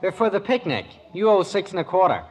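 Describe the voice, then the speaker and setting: An actor playing a breezy, blunt American teenage son with boyish slang in a 1950s radio sitcom. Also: male voice, high-pitched, very animated, fairly quick. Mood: casual, matter-of-fact. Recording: very noisy old radio broadcast recording.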